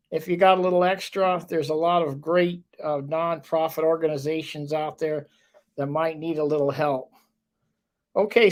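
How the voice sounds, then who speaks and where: A man hosting a local talk show, chatting casually with a guest and rambling with lots of fillers. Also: sits high.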